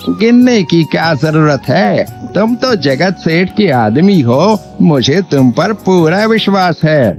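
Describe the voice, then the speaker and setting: Slow, sly greedy village landlord played by a man. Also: a little high, animated, a little mellow. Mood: smooth, reassuring. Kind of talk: Hindi cartoon moral story dub. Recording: dull lo-fi audio with background music.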